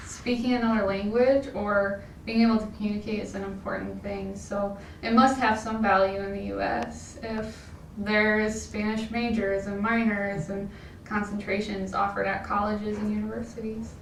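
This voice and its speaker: A woman, giving thoughtful, informal interview answers as she thinks aloud. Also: mid-range voice. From American Midwest. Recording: echoey room, distant mic, faint noise.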